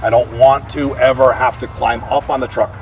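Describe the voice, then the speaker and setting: A man's voice: medium pitch, steady tone, normal speed, a little mellow, distant mic, a little hiss or hum. An American man, a fire chief, explaining equipment in a practical, plain-spoken way during a walk-through. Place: outdoors around a fire truck.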